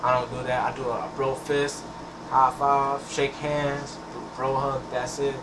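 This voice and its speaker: A man's voice: mid-range voice, flat delivery, a little slow. Rambling teen guy, echoey and distant. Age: teens. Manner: rambling, repeats words, restarts often. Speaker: gameplay channel host. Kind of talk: casual talk over gameplay. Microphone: headset mic. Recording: echoey, distant, noisy room sound.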